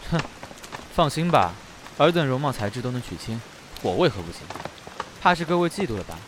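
A man's voice: mid-range voice, sing-song, fairly quick, noisy background, natural voice. An actor playing an aloof, sardonic young nonhuman man in a costume audio drama, with a detached way of talking. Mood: mocking.

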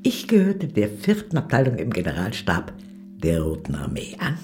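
An older actress playing a proud, worldly aristocratic communist ex-spy in a radio play, with a haughty, wry, theatrical manner. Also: female voice, very deep voice, huge pitch swings, moderate pace, mellow, soft background music, full character voice.

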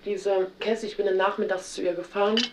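Young woman, Berlin accent, halting interviewee, roomy distant 1970s tape. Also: mid-range voice, level tone, a little hiss or hum. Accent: Berlin German. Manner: hesitant, halting, frequent tag questions. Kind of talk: candid recorded interview.

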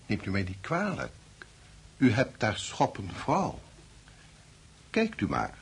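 An actor playing a formal, courteous man dealing and playing high-stakes cards in a radio drama. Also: huge pitch swings, slow pace, creaky, breathy edge, heavily acted. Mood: formal, controlled. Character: formal, unflappable male card dealer and opponent. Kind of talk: Dutch radio drama acting. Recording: faintly noisy old radio play recording.

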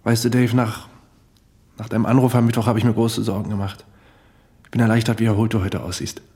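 An actor in a German radio play playing a blunt, prying friend who talks in a chatty, teasing, informal way. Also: male voice, on the low side, little pitch movement, brisk, warm tone, close to an everyday voice. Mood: casual, concerned.

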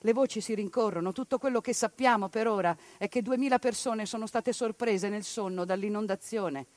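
A woman in a theatrical monologue who delivers a grave, measured report and reads the punctuation aloud. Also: medium pitch, a little mellow, no echo. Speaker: stage performer. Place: radio studio or stage.

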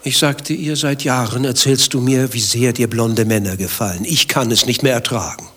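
An actor playing a vain, evasive lover in a radio play, speaking with a defensive, indignant manner. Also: male voice, mid-range voice, fairly bright tone, breathy edge. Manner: defensive, indignant, quick to retort. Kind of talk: German radio play dialogue.

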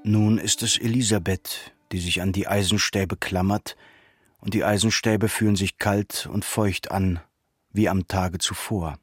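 A man narrating a literary radio drama with grave, measured, dramatic delivery. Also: low-pitched, steady tone, breathy edge, dry recording.

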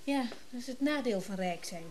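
A woman's voice: on the high side, animated, a little fast, darkish tone, slight background noise, close to an everyday voice. A woman playing a chatty, candid rich wife with humble roots, often hesitating, in a naturalistic radio drama. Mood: breezy, welcoming. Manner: chatty, hesitant, breaking off mid-thought.

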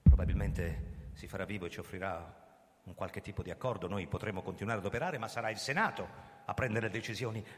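An actor playing an embattled, commanding police commissioner in a radio drama, theatrical and sardonic, worldly-wise in manner. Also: male voice, on the low side, brisk, background noise, natural voice. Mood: grave, forceful. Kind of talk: radio drama acting and first-person narration. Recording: reverberant stage sound, loud music behind. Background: music.

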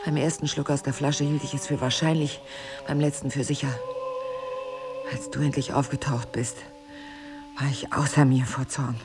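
An actress playing a middle-aged, wounded and jealous wife in an intimate drama, with intense, emphatic phrasing. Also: female voice, very deep voice, level tone, moderate pace, pauses often, music in the background. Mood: brooding confession. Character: wounded, bitter, jealous wife, drinker, ageing and proud. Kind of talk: intimate stage-play dialogue and confessional monologue.

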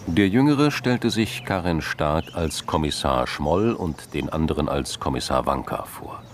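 A man's voice: very deep voice, animated, a little slow, slight background noise, exaggerated voice. A man narrating a scripted crime drama.

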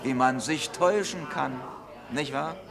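A man's voice: medium pitch, measured pace, vocal fry, a crowd in the background. An actor playing an affable, slyly ironic male police inspector in a vintage radio crime drama, genial and dry. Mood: dryly amused.

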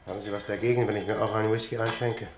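An actor playing a calm, courteous investigator with a gravelly voice and measured, polite delivery in a German radio crime drama. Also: male voice, on the low side, a little hiss or hum, natural voice. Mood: apologetic, gentle.

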